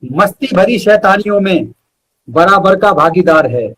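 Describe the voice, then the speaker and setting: Man, poet, verse recital, unhurried delivery, high register, repeated lines, asides to listeners. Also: lively intonation, a bit bright, a little hiss or hum. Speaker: poet, interview guest.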